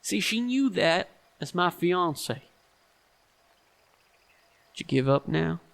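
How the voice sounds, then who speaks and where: An actor playing a stern, shrewd, self-righteous man in an audio stage drama, speaking in a deliberate, accusatory and emphatic way. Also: high voice, lively intonation, vocal fry, fairly bright tone, heavily acted. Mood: scornful.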